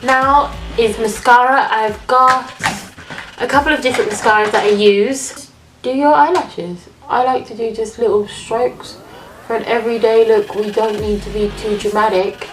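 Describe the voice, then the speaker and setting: A woman with a slightly high voice chats her way through a makeup routine, sounding nasal and stuffed up from a cold. Her talk is loose and friendly as she works. The room is echoey and music plays clearly behind her. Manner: chatty, talks while applying makeup. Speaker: beauty vlogger. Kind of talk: casual makeup tutorial.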